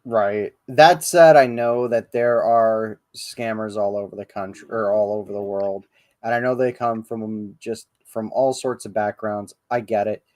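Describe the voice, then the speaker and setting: Male podcast host, slow and meandering, profane, dry close-mic sound. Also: fairly low pitch, fairly even intonation, darkish tone, no echo. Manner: rambling, profane, hesitant with many ums. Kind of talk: casual livestream chat. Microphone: livestream podcast mic.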